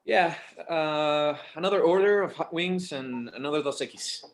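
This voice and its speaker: High-pitched, casual, patient grown son played by a man. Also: lightly acted. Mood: mildly exasperated. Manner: casual, halting, mixes in Spanish. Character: patient, slightly exasperated adult son. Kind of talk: virtual table read of a screenplay.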